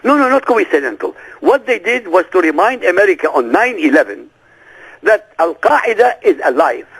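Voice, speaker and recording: A man whose first language is Arabic, a guest on a radio interview, who talks forcefully and emphatically and keeps firing off rhetorical questions. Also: high voice, very animated, moderate pace, breathy edge, poor audio.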